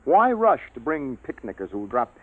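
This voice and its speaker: A man playing a sardonic, flirtatious and stubbornly cautious military biologist in a 1950s science fiction radio drama, teasing and unhurried. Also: mid-range voice, big pitch swings, phone-quality sound. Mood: stubborn, musing. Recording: thin, band-limited old radio recording.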